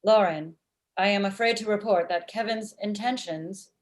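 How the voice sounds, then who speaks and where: A woman's voice: medium pitch, moderate pace, natural voice. A woman voicing an earnest, literal-minded robot with stilted formal phrasing in a comedy table read over a video call. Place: small room at home, video call. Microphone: video call computer microphone.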